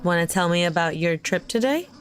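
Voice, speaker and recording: A woman playing a worried, caring and suspicious character in an audio drama, speaking naturally and earnestly with gentle insistence. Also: on the low side, lively intonation, fairly quick, soft background music, natural voice.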